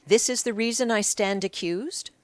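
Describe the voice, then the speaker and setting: A woman's voice: mid-range voice, normal speed, dry recording, voice pushed up, natural voice. A woman voicing a scheming, defensive female beer-house keeper of ancient Egypt, protesting and justifying herself in an audiobook. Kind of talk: audiobook character acting.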